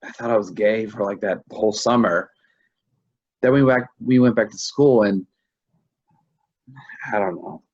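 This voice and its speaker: A man acting a shaken, confused husband in grief in a staged play reading, speaking haltingly and repeating himself. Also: fairly low pitch, darkish tone, highs cut off. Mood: wistful. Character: shaken, confused husband grieving a lost pregnancy.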